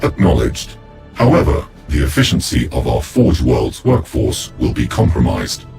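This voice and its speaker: An actor playing a clinical, calculating machine-priest in a game cutscene, gravelly, clipped and formal. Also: male voice, a bass voice, big pitch swings, normal speed, darkish tone, soft background music, exaggerated voice. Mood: clinical objection. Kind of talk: video game cutscene acting.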